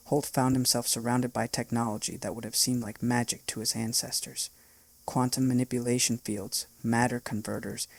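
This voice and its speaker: A man narrating a science fiction short story in a steady, scripted read. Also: medium pitch, slightly rough, no room sound.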